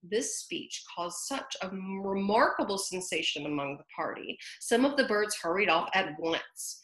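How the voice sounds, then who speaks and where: A woman reading a children's story aloud with chatty asides to young listeners, in an animated way. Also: medium pitch, normal speed. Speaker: storybook reader. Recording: roomy echo.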